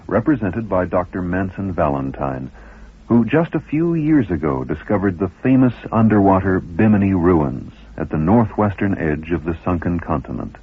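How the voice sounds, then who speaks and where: A man with a low, husky voice narrates a scripted radio documentary. The sound is narrow and lo-fi, like an old broadcast. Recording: muffled narrow-band radio recording.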